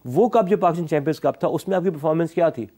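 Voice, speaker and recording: A man's voice: on the high side, very fast, warm tone. A man with a Pakistani accent giving sports talk show analysis in an emphatic, explanatory way, often switching between two languages. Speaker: cricket analyst.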